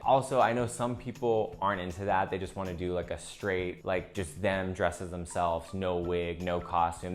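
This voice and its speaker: A man with a fairly low voice gives an informal comedy lesson. He talks conversationally, sprinkling in "like" as he explains, with faint music underneath. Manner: conversational, peppered with "like". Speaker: comedian teaching character reels. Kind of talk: casual instructional talk.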